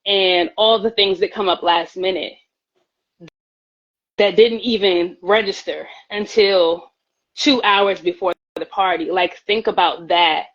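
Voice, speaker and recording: A woman's voice: mid-range voice, fairly even intonation, slow, a bit bright, muffled recording. A woman singer talking earnestly as a guest in a casual live-stream chat, often repeating her words. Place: at home, by her pantry. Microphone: phone on a live stream.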